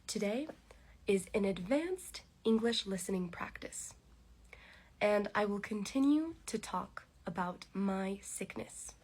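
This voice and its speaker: A woman hosting a slow English podcast, speaking deliberately with pauses, congested and with a cough. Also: mid-range voice, animated, darkish tone. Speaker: podcast host teaching English. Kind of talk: slow, clear English listening lesson.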